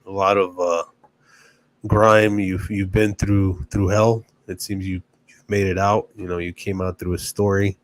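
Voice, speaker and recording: A man's voice: low voice, little pitch movement, measured pace, low-quality recording. A man in his twenties hosting a podcast, asking questions in a casual, friendly, slangy way. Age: 20s. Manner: casual, friendly slang, says bro a lot. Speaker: podcast host. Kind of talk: casual interview.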